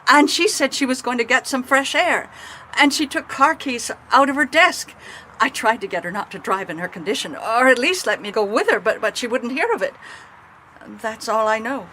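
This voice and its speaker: An actress playing a guarded, nervous office worker in a radio mystery, speaking carefully and defensively. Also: female voice, a little high, lively intonation, moderate pace, sharp tone. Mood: reluctant, earnest. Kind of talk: radio mystery drama acting. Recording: noisy radio drama recording.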